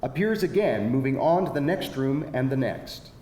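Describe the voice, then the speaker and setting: A man's voice: mid-range voice, expressive, moderate pace, warm tone. A man narrating stage directions in a live screenplay reading. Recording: echoey hall, faint background noise.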